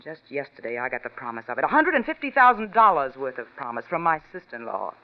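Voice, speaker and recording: A woman's voice: extremely low pitch, very animated, fast talker, heavily acted. An actress playing an anxious, determined scheming woman in a 1940s radio drama, intense and theatrical. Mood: insistent. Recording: muffled vintage radio broadcast.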